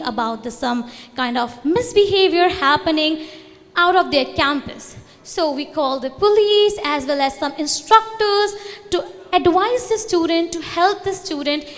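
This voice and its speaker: Brief: young Indian-accented woman, high voice, earnest presenter at a convention, a bit loosely worded, long hall reverb. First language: Hindi. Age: young adult. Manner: earnest, loosely phrased speech with restarts. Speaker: student organization representative. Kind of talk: conference presentation.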